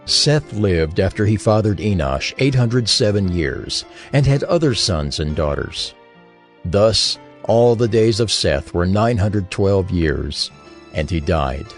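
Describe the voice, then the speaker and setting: A man's voice: deep voice, expressive, takes it slowly, faint music behind. A man narrating scripture from a script in a declarative storytelling manner.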